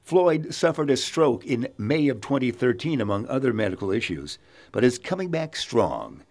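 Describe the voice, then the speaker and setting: Man news voice-over, measured, middle pitch. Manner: steady, measured read. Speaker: news narrator. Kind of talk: scripted voice-over.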